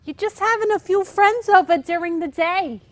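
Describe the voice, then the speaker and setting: A woman's voice: very high voice, moderate pace. A woman acting a teasing, encouraging housewife friend in a staged comedy reading. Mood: playful, coaxing. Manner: persuasive, playful.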